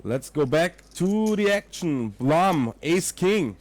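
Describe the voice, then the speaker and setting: A man's voice: fairly high pitch, sing-song, slow. A young man from Austria, a non-native English speaker with an Austrian German accent, commentating a poker livestream and chatting with viewers, sometimes searching for words. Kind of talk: live poker commentary with chat.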